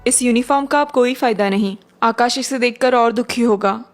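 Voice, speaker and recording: A woman plays an old family matriarch in a cartoon, high-voiced for her years. She speaks with earnest, caring concern for her household. Music plays behind her. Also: moderate pace, lightly acted. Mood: worried. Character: kindly worried elderly grandmother and mother-in-law in a family. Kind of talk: Hindi moral-story cartoon dubbing.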